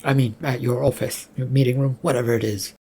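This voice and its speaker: A reader voicing a smug male colleague who talks in a smooth, insinuating way. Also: medium pitch, steady tone, a little fast, darkish tone. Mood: sly.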